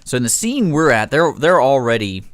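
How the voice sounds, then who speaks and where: A man's voice: mid-range voice, big pitch swings, moderate pace, dry recording, studio quality. A man hosting a casual live radio show, rambling and often interrupting himself as he tells stories. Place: radio studio.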